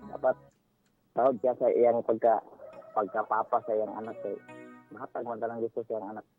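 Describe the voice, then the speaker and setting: A man's voice: lowish voice, little pitch movement, fairly quick. Cebuano man on a tinny phone line. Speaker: radio show caller. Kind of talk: casual advice chat. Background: background music.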